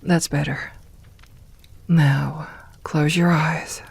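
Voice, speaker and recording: A woman playing a doting, teasing evil lamia queen in an ASMR roleplay, soft-spoken and unhurried, with formal phrasing and near-whispered murmurs. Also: low voice, steady tone, vocal fry, slight background noise, heavily acted. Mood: gentle, reassuring. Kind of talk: ASMR roleplay, intimate personal attention.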